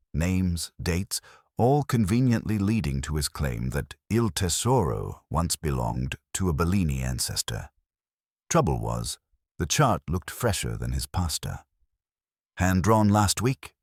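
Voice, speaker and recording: A man's voice: a bass voice, dry recording, clean studio sound. A man narrating a scripted first-person detective story in a wry, hard-boiled way.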